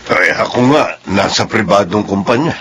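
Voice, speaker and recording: A man's voice: fairly low pitch, lively intonation, moderate pace, fairly bright tone, breathy edge, exaggerated voice. A man playing a middle-aged working father and husband in a radio drama, plain-spoken. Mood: doubtful, resigned. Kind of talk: naturalistic radio drama acting.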